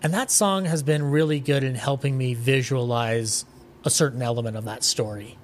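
A man's voice: medium pitch, moderate pace. Rambling, enthusiastic guy over background noise. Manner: rambling, enthusiastic film and music fan, swears casually. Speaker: podcast guest. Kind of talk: casual interview chat.

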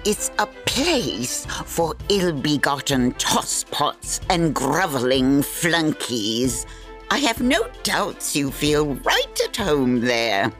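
A woman's voice: sits low, very animated, takes it slowly, faint music behind, exaggerated voice. A woman playing a cantankerous old crone who narrates a fantasy tale in pompous, wordy phrases. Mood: haughty, vexed. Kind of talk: theatrical storybook narration.

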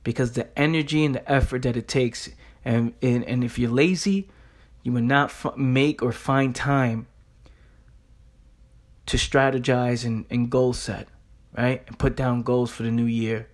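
A man's voice: medium pitch, fairly even intonation, measured pace. A young man giving an informal motivational monologue in a blunt, streetwise, emphatic way, punctuated with rhetorical questions and casual swearing. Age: young adult. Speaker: motivational speaker.

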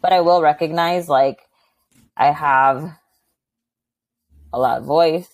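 A young adult woman with a notably low voice talks animatedly as a podcast guest. She chats freely about her teaching and acts out little quoted exchanges. The sound is close and dry. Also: slow, no room sound. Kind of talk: casual interview conversation.

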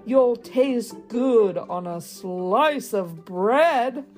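A woman doing a big storybook monster, lowered voice, very slow, slightly menacing. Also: lively intonation, darkish tone, faint music behind.

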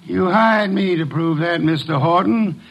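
An imperious gourmet detective in a classic radio mystery. His voice sits fairly high for a man, and he speaks in ornate, precise phrases with lofty self-assurance. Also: measured pace, creaky, highs cut off, exaggerated voice. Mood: cool, controlled. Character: pompous, imperious gourmet master detective, cultured and unhurried. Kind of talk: old-time radio detective drama acting.

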